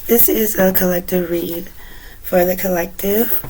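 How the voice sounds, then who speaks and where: A woman with a hoarse, recovering voice talks through a tarot spread at a leisurely pace. Her voice sits a little low and she fills pauses with casual fillers. Card shuffling and steady background noise come through the recording. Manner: relaxed, unhurried, chatty with many fillers. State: raspy, recovering voice. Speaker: tarot reader. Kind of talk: casual tarot reading to camera.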